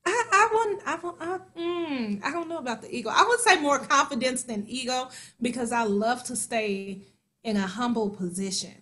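A woman's voice: high-pitched, moderate pace. A woman in her forties from Kansas City, a stage actor and singer, chatting as a guest and acting out her own thoughts as she tells stories. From Kansas City, USA. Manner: lively storytelling, acts out her own inner voice. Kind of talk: conversational interview.

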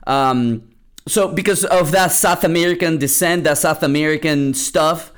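A young man from Peru chats through a personal story on his own podcast, looping back with fillers and restarts as he goes. His voice sits a little higher than most men's. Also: a little slow, clear, resonant tone. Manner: rambling, many restarts and fillers. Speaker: podcast host. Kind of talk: casual solo podcast storytelling.

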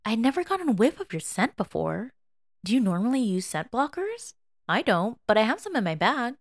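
Woman voicing an excitable gossipy student, higher-pitched. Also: brisk. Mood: concerned, reassuring. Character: gossipy, excitable, kind young student friend. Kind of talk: audio drama character voice.

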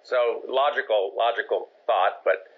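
A man's voice: lowish voice, a little slow. A middle-aged man who grew up in Japan answers interview questions and tells stories about his career, with many fillers and self-corrections. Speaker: film producer, guest. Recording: dull lo-fi audio.

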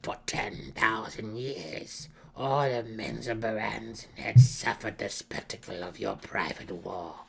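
A man voicing a withered old female dark elf matriarch, sly and imperious, in a measured, mysterious fantasy audiobook delivery. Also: lowish voice, fairly even intonation, vocal fry, slightly rough, heavily acted. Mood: stern, cross.

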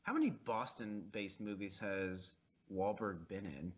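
A man's voice: lowish voice, a little slow, slightly rough. A man co-hosting a casual movie commentary, easygoing and laughing along with crude jokes. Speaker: podcast co-host.

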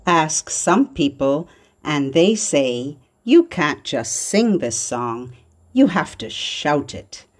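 Very slow-talking woman hosting for little children. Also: low voice, very animated. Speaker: children's show host. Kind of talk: slow, simple presenting for babies and toddlers. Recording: music behind the voice. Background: music.

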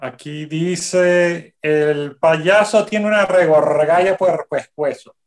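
An actor playing an eager, earnest young boy in a radio drama, with a halting way of speaking. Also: medium pitch, steady tone, natural voice. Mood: effortful. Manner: halting, effortful when reading.

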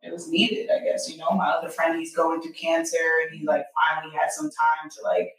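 A woman's voice: sits low, little pitch movement, a little fast. A woman co-hosting a podcast, chatting casually and rambling with lots of "like". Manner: rambling, heavy use of "like". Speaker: podcast co-host. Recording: echoey room, mic at a distance.

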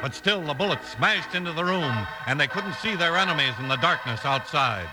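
A man's voice: on the high side, lively intonation, brisk, over loud music, rough recording. An American man announcing and narrating in scripted 1950s radio style.

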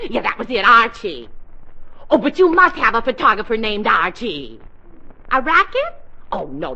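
An actress playing a flattered, excitable middle-aged single lady in a theatrical, gushing 1940s radio comedy style. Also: sits high, exaggerated voice. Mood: puzzled, indignant. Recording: noisy, dull 1940s radio recording.

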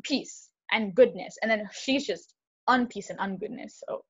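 A young woman co-hosting a podcast, talking fast and animated in casual chat, with lots of "like". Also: medium pitch, breathy edge, lo-fi sound. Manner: fast, animated, heavy use of "like". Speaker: podcast co-host.

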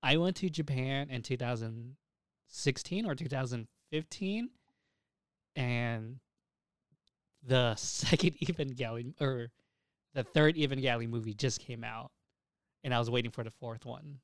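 A man's voice: medium pitch, a little slow, long pauses, no echo. Young man, podcast co-host, casual anime chat, rambling, profane, dry close sound.

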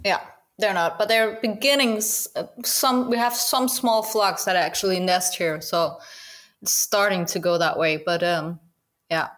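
Danish woman guest, lowish voice, halting casual English with a Danish accent, laughs easily. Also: fairly bright tone. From Denmark. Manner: halting, many restarts and fillers, laughs often. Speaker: guest goose hunter. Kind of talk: casual interview chat.

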